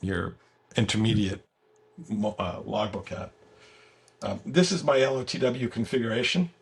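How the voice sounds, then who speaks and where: A man with a gravelly, husky voice giving a casual software walkthrough as the guest, halting and repeating words as he explains. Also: fairly low pitch. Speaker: software creator giving a product demo as guest. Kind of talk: casual screen-share walkthrough.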